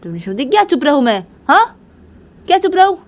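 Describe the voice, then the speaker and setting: A woman's voice: medium pitch, normal speed, dark tone, close to an everyday voice. A woman voicing a hot-tempered, bossy young mother in a told family story, scolding and repeating her demands. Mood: angry, shouting.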